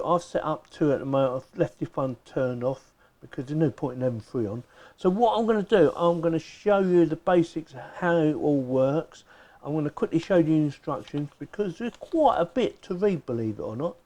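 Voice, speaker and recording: Mellow-voiced man, chatty product reviewer. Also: on the high side. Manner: chatty, informal, thinking aloud. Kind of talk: casual unboxing and demonstration chat.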